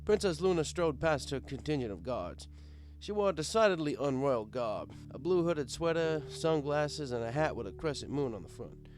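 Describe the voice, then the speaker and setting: A man narrating a comic first-person story in a wry, expressive, conversational storyteller's way. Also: fairly high pitch, moderate pace, few pauses, slight background noise. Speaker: audiobook narrator.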